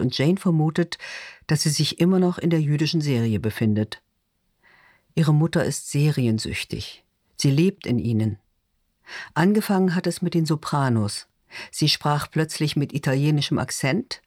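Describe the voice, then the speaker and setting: A man's voice: mid-range voice, dry recording, clean studio sound. A man narrating literary fiction in a scripted audiobook reading style.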